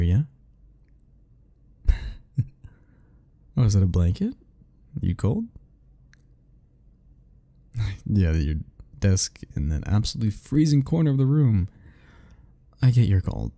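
A man's voice: sits low, expressive, normal speed, long pauses, dark tone. A young man doing an ASMR roleplay as a teasing, easygoing roommate talking to the listener, laughing often.

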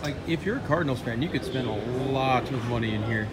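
A man's voice: mid-range voice, a little fast. A man giving an informal, enthusiastic walk-and-talk vlog narration, casually peppering his sentences with "like.". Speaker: vlog host reviewing a ballpark. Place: busy baseball stadium concourses and shops. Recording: loud crowd and stadium noise. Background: loud crowd chatter and venue noise.

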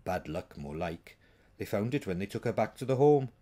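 A practical, sharp-tongued witch woman from a storybook , voiced by a man. She is blunt and teasing, with a dry wit and a very lively intonation. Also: lowish voice, normal speed. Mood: dismissive. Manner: blunt, wry, no-nonsense.

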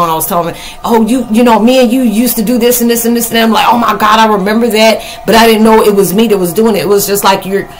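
A middle-aged American woman talking casually to camera in a rambling, conversational monologue with halting asides. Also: medium pitch, poor audio. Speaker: spiritual vlogger. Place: living room, indoors.

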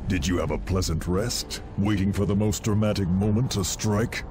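A man playing an aggressive, scornful space marine in a video game, with a gruff, forceful delivery. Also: sits low, moderate pace, close to an everyday voice. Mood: sarcastic. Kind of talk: video game character acting. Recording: loud music and gunfire behind.

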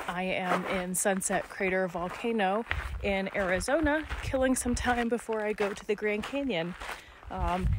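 Woman in her 30s vlogging on a hike, phone at arm's length, joking at herself, noisy outdoor audio. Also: mid-range voice. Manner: chatty, self-deprecating, wry asides. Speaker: travel vlogger. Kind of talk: casual hiking vlog. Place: outdoors on a volcanic trail. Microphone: phone camera at arm's length.

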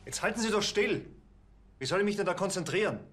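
An actor playing a soothing, ingratiating naturopath treating a patient. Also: male voice, high-pitched, expressive, quick pace, husky, faint music behind. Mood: irritated. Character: smooth-talking male naturopath.

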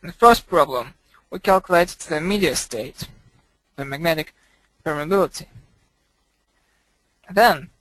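A man presenting a software tutorial as a screencast voice-over, speaking haltingly in short broken phrases. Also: a little high, animated, dry recording, rough recording.

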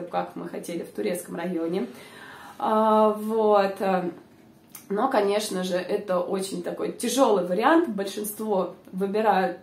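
A young woman with a hoarse voice, chatting casually to her viewers with lots of filler sounds, recorded on a lapel mic in a small room. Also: mid-range voice, darkish tone, echoey room, far from the mic, slight background noise. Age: young adult. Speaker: vlogger. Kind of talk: casual informative vlog.

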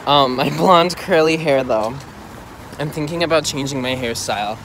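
A young man vlogging casually on a phone at arm's length, walking city streets and chatting excitably with lots of "like". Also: on the high side, normal speed, a little hiss or hum, poor audio. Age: young adult. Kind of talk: casual vlog chat. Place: bedroom indoors, then city streets outdoors. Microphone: phone held at arm's length.

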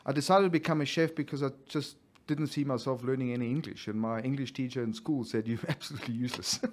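A middle-aged man with an Austrian German accent answers questions in a relaxed way. His first language is German. He talks easily and laughs often. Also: mid-range voice, fast. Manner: easygoing, laughs readily, brief agreeing replies. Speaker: chef guest. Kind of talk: casual radio interview.

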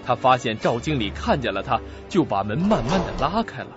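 Guy narrating a suspense radio play, mid pitch, very expressive, dramatic. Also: brisk. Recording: dull audio with music behind.

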